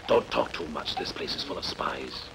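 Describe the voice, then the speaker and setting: A man playing a wary, gruff rebel conspirator in a very noisy film dub. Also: a bass voice, lively intonation, normal speed, full character voice. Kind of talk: dubbed costume-adventure film acting. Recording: very noisy old film soundtrack.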